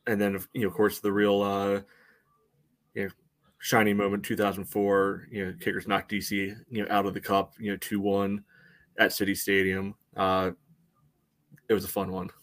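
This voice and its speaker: A man with a fairly low voice gives casual sports analysis as a podcast co-host. His delivery is halting and padded, working through each point piece by piece. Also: monotone, a bit bright. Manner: halting, peppers talk with "you know".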